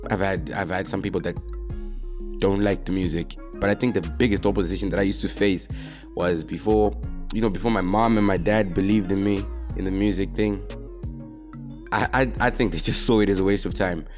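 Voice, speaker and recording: Young rapper, low-pitched and easygoing, talking straight to camera over soft music. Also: male voice, fairly quick. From Zimbabwe. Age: young adult. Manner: relaxed, laid-back, drops in "man" often. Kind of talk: casual talk to camera answering questions.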